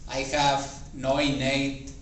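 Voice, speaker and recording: A man who speaks English as a second language gives a personal motivational speech to a live audience, halting and pausing deliberately as he goes. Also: medium pitch, monotone, a bit bright. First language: not English. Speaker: club member giving a practice speech. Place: indoor meeting room before a small audience. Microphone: distant camera or room microphone. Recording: very echoey room, distant mic, faint noise.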